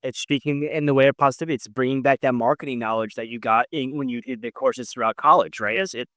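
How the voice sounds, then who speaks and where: A man's voice: medium pitch, fast talker, a bit bright, no room sound, clean studio sound. A man hosting a conversational business podcast, speaking emphatically and repeating key phrases for stress. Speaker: podcast host interviewing an entrepreneur.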